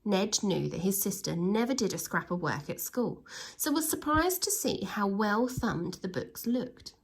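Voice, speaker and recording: A woman reading a children's story aloud expressively, with theatrical, drawn-out emphasis on key words. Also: medium pitch, lively intonation, vocal fry, husky, poor audio.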